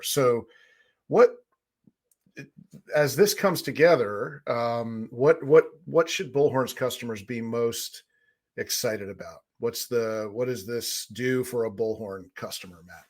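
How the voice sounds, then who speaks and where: A male interviewer with a mid-pitched voice talks in a conversational way. He works toward his questions haltingly, thinking aloud as he goes. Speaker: podcast host interviewer.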